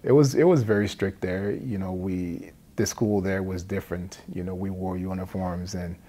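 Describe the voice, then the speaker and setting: A middle-aged man from Liberia gives a personal testimony in a halting, plain-spoken way, often adding "you know". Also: deep voice, fairly even intonation, dark tone. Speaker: addiction recovery centre support worker. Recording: noticeable background noise.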